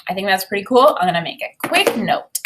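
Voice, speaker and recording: Expressive woman teacher reading aloud. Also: medium pitch, noisy background. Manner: informal, explanatory, pausing to comment. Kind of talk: expressive read-aloud with think-aloud commentary.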